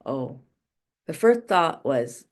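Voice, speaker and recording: Low-pitched woman coach with unhurried delivery. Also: very animated. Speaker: life and business coach. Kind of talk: motivational coaching session.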